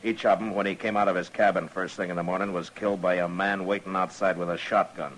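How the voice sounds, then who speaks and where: An American actor playing a calm, firm frontier marshal with a terse, authoritative delivery in an old-time radio western. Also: male voice, sits low, fast talker. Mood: stern, steady. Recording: noisy vintage radio drama recording.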